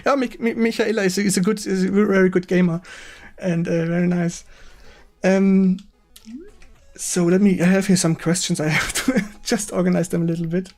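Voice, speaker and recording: A man with a German accent hosting a casual live-stream interview in halting non-native English, searching for words. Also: sits high, steady tone, darkish tone, faint music behind.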